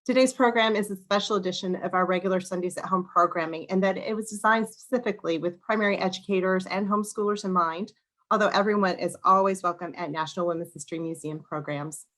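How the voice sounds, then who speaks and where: A woman with a slightly crackly, hoarse voice hosting an online museum program, reading a welcome and introducing a guest, often clearing her throat and restarting words. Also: lowish voice, quick pace, fairly bright tone. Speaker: museum education director hosting a webinar. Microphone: video call.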